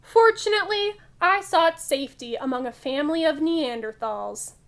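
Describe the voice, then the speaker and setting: A woman voicing an excitable child storyteller from a picture book, with animated, emphatic delivery. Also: high voice, normal speed. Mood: excited.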